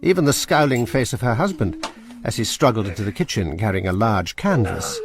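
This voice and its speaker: A man narrating a period drama in a measured, storytelling way. His voice sits fairly low but moves expressively through each sentence. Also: lively intonation, over music. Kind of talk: scripted narration.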